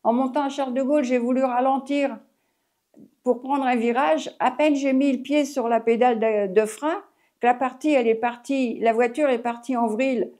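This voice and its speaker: A woman's voice: sits high, steady tone, moderate pace, dark tone. Chatty French woman in her eighties. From France. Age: 80s. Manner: rambling, chatty, restarts often. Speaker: retired taxi driver. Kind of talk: oral history interview.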